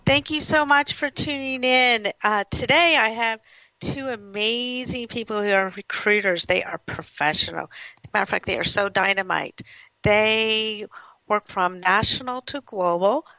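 A woman's voice: mid-range voice, moderate pace, pushed and bright, no echo. A woman hosting a radio talk show, gushing and rambling as she introduces guests. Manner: effusive, rambling praise. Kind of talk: casual radio interview. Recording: dull, lo-fi radio audio.

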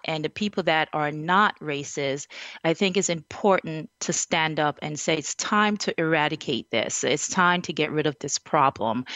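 A woman hosting a conversational interview, speaking earnestly with a halting delivery. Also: sits low, fairly bright tone, no room sound. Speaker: interviewer, show host. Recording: slightly dull lo-fi audio.

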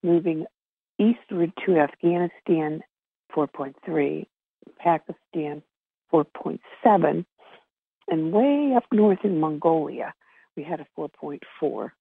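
Woman on a tinny phone call, husky low voice, going through a report region by region. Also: slow pace. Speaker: segment presenter on a conference call. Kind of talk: informal spoken report read region by region. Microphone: telephone. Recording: narrow, tinny phone line.

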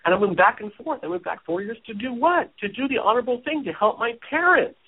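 Animated man on a phone-like line. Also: very high-pitched, fast talker, slightly rough. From Hawaii. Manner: animated, chatty, self-deprecating. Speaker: business strategist guest. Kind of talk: casual talk show interview. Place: at home. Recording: phone-like audio.

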